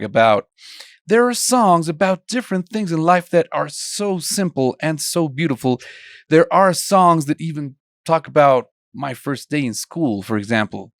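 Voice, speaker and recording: A man's voice: fairly high pitch, dry recording, clean studio sound. A young man from Colombia teaching an online songwriting lesson, chatty and full of questions for the listener. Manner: conversational, asks students many questions. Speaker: songwriting instructor.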